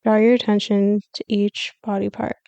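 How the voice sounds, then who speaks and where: A woman leading a scripted guided meditation as a yoga nidra teacher, giving calm, soothing, measured instructions with pauses. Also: medium pitch, creaky, smooth, dry recording, dull audio.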